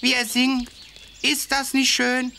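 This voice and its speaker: Bright-voiced, musing mole. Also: male voice, very high voice, monotone, slow, vocal fry, a little hiss or hum, full character voice. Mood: musing, enchanted. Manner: musing, gently explanatory. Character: friendly, philosophical blind old male mole. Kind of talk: children's animated audio story acting.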